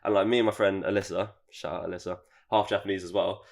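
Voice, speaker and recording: A young man with a British accent talks fast in a relaxed podcast chat. His voice sits low and he strings his thoughts together with plenty of "like" and "yeah". The delivery stays easygoing and conversational. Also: creaky. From England. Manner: relaxed, chatty, fast with lots of fillers. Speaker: guest.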